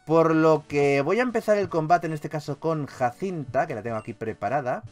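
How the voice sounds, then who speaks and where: A man with a Castilian accent from Spain giving excitable, sweary let's play commentary as a gaming YouTuber. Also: a little high, moderate pace, faint music behind. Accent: Castilian Spanish from Spain. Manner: animated, expressive, swears freely.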